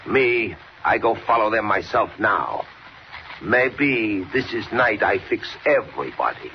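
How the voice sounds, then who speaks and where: An actor playing a threatening Western gang boss with a foreign accent and broken English, in a 1940s radio drama style. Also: male voice, fairly low pitch, very animated. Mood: cold menace. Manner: slow, deliberate, threatening. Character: menacing Western outlaw gang boss, adult man. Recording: dull, noisy old radio recording.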